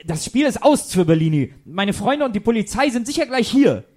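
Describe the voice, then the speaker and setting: A man playing a know-it-all junior detective boy, huffy and excitable, in a comic radio play. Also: sits high, a little fast. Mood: defiant. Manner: excitable, cocky, self-talking.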